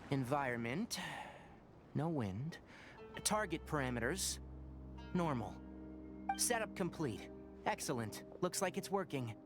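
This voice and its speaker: A young man voicing a precise, knowledgeable forest ranger scholar in a video game, explaining things in a calm, lecturing way. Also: a little high, measured pace, long pauses. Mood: focused. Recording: game dialogue over orchestral score. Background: orchestral music.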